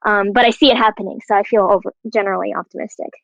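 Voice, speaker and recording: A woman's voice: mid-range voice, steady tone, racing, creaky, no echo. A young American woman chatting casually in a panel discussion, peppering her talk with filler phrases like "you know", on slightly dull audio. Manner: conversational, frequent "like" and "you know". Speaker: panelist, animation writer and actor.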